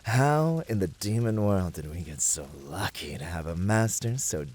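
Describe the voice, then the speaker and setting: A man playing a flirty, devoted incubus demon in an ASMR roleplay, teasing his master with effusive flattery. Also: deep voice, normal speed. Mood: adoring, flirty.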